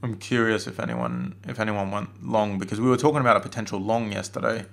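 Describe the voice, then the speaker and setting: A man's voice: fairly low pitch, lively intonation, quick pace, gravelly. A man with a cold and a strained voice giving a casual chart-analysis webinar, hesitating often with fillers and restarts. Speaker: forex trading analyst.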